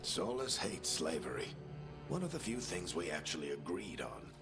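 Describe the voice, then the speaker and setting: A middle-aged game adventurer with a low, animated voice, knowing and world-weary. He speaks wryly over loud music. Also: normal speed. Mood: grave. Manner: wry, knowing. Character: weary, wry older adventurer and guide. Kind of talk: dramatic video game acting.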